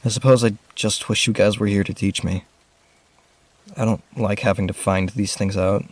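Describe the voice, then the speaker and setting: A man's voice: fairly low pitch, fairly even intonation, moderate pace, no echo, close to an everyday voice. A man playing a lonely, grieving rich teenage boy in an audio drama, earnest, introspective and hesitant. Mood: wistful, reflective.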